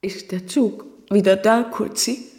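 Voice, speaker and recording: An actress in a radio drama playing an anxious, tearful, clinging wife. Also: female voice, mid-range voice, fairly even intonation, measured pace, background noise, natural voice. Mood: plaintive. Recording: echoey room.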